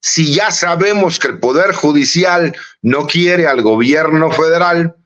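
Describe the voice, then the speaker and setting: A man's voice: a little high, unhurried, highs cut off. Lawyer in his late forties. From Mexico. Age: late 40s. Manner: warm, conversational, often says "mi querido Manuel". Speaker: lawyer and political analyst guest. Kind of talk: casual interview commentary.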